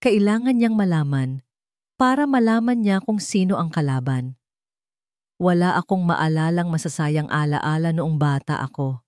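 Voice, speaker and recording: A woman's voice: deep voice, normal speed, darkish tone, clear, resonant tone, no echo, clean studio sound. A woman with a Filipino accent reading a dramatic romance story as its narrator.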